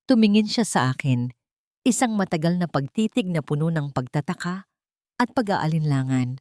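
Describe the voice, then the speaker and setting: A woman's voice: low voice, lively intonation, moderate pace, darkish tone. A woman reading scripted first-person narration in a dramatic storytelling style.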